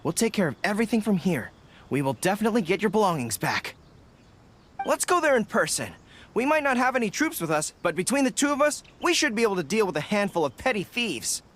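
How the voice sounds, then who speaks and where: An actor playing an earnest, dutiful young army general in an anime video game, speaking formally and with resolve. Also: male voice, high-pitched, big pitch swings, fast talker, a bit bright, slight background noise. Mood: earnest, confident. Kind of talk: English-dubbed anime video game acting. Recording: game music behind the voice.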